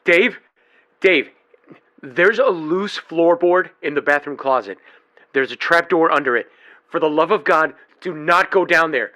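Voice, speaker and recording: A man's voice: on the high side, unhurried, pushed and bright. A man playing a frantic caller, pleading urgently in an audio drama. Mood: panicked. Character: frantic man warning himself from the future.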